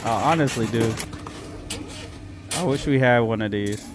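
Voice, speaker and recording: A man hosting a reaction video, talking in a relaxed, chatty way and chuckling between remarks. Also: medium pitch, a little slow, noisy background. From United States. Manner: laid-back, chuckles often. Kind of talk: casual commentary.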